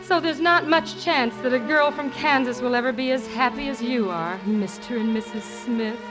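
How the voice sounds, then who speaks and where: A wistful, adoring young woman played by an actress speaks in a slightly high voice. The acting is earnest old-time radio, with orchestral music clearly behind. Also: normal speed, lightly acted. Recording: vintage 1950s radio broadcast.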